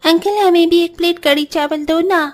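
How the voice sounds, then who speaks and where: A woman's voice: very high-pitched, fairly even intonation, moderate pace, faint music behind. A woman voicing a hungry, pleading small child in a cartoon story, childlike and plaintive.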